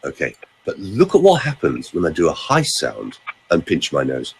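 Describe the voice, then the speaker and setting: A man working as a vocal coach, demonstrating voice exercises on a podcast, swooping between high and low notes as he shows the sounds. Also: huge pitch swings, normal speed, gravelly, noisy background.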